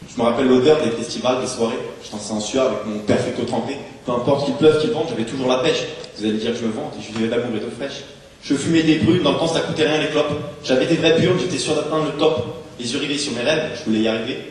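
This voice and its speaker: Man, stage slam poet, rapid rhymed declamation, bitter down-and-out character, strong hall echo, faint noise. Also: mid-range voice. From France. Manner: rhythmic, declamatory delivery in rhymes. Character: embittered, washed-up homeless street drinker remembering his youth. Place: large theatre hall, live on stage. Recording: echoey hall, distant mic, faint noise.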